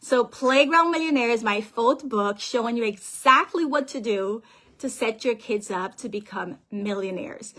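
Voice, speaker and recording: A woman from Guyana, a financial planner and author giving a lively motivational talk on money over a call and often checking in with her listeners. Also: a little high.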